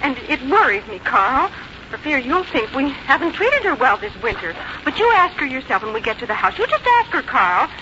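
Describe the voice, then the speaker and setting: Fretful high-voiced daughter. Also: female voice, big pitch swings, normal speed. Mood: fretful, exasperated. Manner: fretful, insistent, complaining. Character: fretful, defensive grown daughter of an aging mother. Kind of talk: 1950s radio drama acting. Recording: dull, hissy old radio recording.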